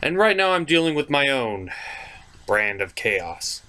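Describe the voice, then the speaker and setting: A man's voice: medium pitch, very animated, measured pace, pushed and bright. A man hosting a puppet review show, narrating a scripted comedic cartoon review in a theatrical, mock-exasperated manner.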